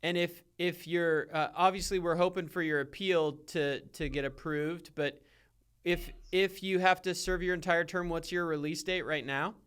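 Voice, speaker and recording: A man interviewing a guest as a podcast host. His voice is clean and smooth, sitting slightly high for a man. The style is casual and conversational. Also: fairly even intonation, moderate pace, fairly bright tone.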